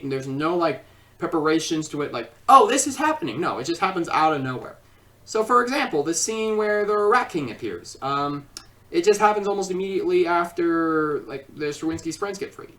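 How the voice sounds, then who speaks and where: A film reviewer giving an informal critique in a halting way, often repeating and rephrasing, recorded at a distance from the microphone. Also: medium pitch, fairly bright tone. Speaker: video reviewer and host. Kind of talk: informal scripted film review with ad-libbed asides.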